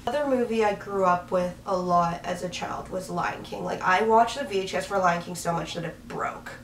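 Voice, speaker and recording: A woman's voice: lowish voice, normal speed, slight background noise. A young woman in her twenties from Washington State, chatting casually to the camera in her bedroom and laughing at herself as she rambles. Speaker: vlogger. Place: small bedroom, indoors, on a bed with a dog. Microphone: camera mic from a few feet away. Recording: roomy, slightly distant camera audio.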